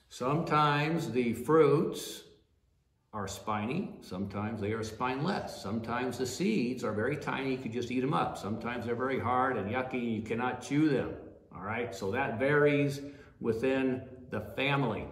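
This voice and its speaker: A man's voice: medium pitch, a bit bright, reverberant. An older man giving an informal botany class from a desk, chatty and always asking "all right?" or "okay?". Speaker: plant educator. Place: small office room at a desk.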